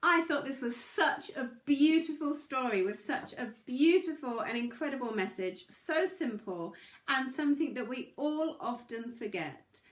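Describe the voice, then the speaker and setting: High-pitched, animated woman storyteller. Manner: animated, dramatic. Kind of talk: expressive children's story reading. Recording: echoey room.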